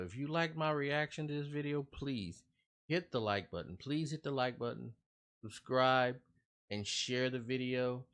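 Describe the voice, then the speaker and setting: A man's voice: mid-range voice, fairly bright tone, smooth. A man hosting a reaction video, chatting casually and earnestly to his viewers, rambling and often checking in with them. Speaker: reaction channel host. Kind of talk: casual talk to viewers after a scary-story reaction.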